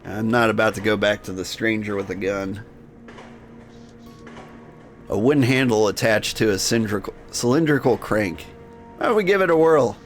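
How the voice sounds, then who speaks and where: A man's voice: on the low side, lively intonation, normal speed, long pauses, close to an everyday voice. Male player as brooding minotaur antihero, wry and tired, narrating a Victorian game over music. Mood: wry, weary. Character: world-weary male Victorian minotaur, wry, struggling with vices. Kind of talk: first-person game narration in character.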